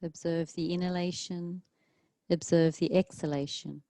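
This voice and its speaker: A woman teaching yoga leads a guided relaxation, calmly and gently, leaving long pauses between short phrases. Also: on the low side, dry recording. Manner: calm, soothing, gentle instruction with long pauses. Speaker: yoga teacher leading a class.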